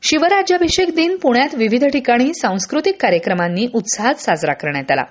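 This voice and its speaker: A woman newsreader with a high voice and very animated intonation delivers scripted bulletins formally and with measured phrasing. The sound is slightly dull, like a lo-fi radio broadcast. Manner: formal, measured news reading. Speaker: radio news presenter.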